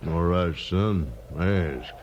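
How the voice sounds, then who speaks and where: A man's voice: low voice, dull audio. A man playing a proud, laconic mountain-man leader with a rural American hillbilly drawl in a 1950s radio Western. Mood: dry, laconic. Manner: terse, slow-talking backwoods drawl. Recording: noisy 1950s radio recording.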